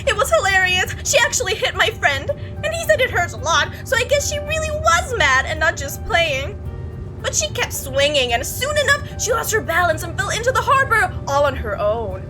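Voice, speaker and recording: A creepy young man from a visual novel, acted out by a woman, chatters on without pause. The pitch sits high and jumps around animatedly. He is breezy and oblivious, with casual menace under the friendly rambling, and music plays behind. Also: big pitch swings. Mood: boastful, rambling. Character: talkative, oblivious, creepy young male bus passenger.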